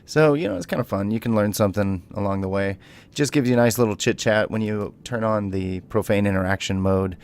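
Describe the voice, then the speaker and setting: A man's voice: lowish voice, brisk, darkish tone, clean tone. A man giving casual, playful gameplay commentary as a video host, bantering as he goes.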